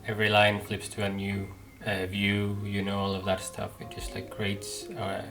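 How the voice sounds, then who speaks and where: A man giving casual, analytical reaction commentary as a gamer, halting as he thinks aloud. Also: fairly low pitch, completely flat delivery, pushed and bright, low-quality recording. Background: game music.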